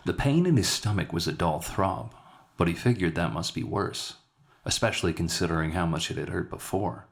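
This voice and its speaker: A man's voice: deep voice, expressive, normal speed, gravelly. A man reading a scripted dramatic short story aloud as its narrator. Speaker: author narrating his own story.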